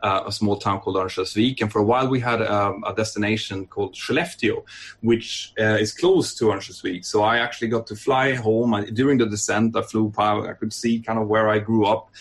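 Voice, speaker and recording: Man from northern Sweden, airline training captain, low voice, unhurried chatty interview answers. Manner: relaxed, thoughtful, many fillers and restarts. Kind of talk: podcast interview.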